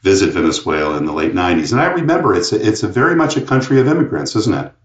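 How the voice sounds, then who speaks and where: A man hosting a business podcast. He reads a scripted introduction, then asks questions in a measured, slightly halting way. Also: on the low side, sing-song, lo-fi sound. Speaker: podcast host.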